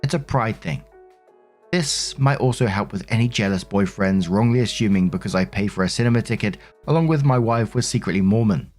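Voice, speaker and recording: A man's voice: on the low side, fairly quick, a little mellow, faint music behind. A man hosting and reading online stories aloud in a conversational way, with casual commentary addressed to listeners. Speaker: host narrator of online stories.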